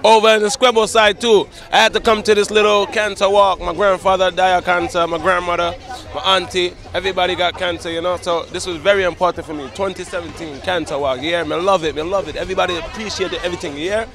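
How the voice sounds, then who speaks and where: A man from Guyana talking in a lively, playful way into a handheld mic outdoors. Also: high voice, quick pace. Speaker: walk participant. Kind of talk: street interview. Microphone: handheld reporter mic.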